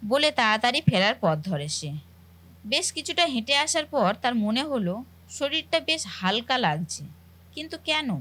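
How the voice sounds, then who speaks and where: A woman's voice: a little high, big pitch swings, normal speed, fairly bright tone. A woman narrating a cartoon story in a scripted storytelling style. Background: faint music.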